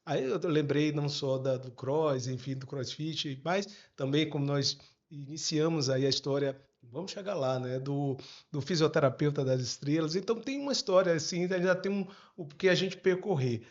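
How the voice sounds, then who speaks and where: Higher-voiced man, dulled sound. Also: moderate pace, hardly any pauses, lo-fi sound. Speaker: podcast co-host. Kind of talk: casual chat.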